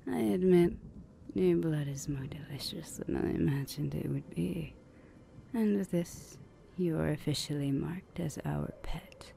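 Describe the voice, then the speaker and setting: A woman playing a dominant older vampire sister in an ASMR roleplay, breathy and languid with dry teasing. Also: low voice, unhurried, mellow, slight background noise, heavily acted. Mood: sultry, commanding.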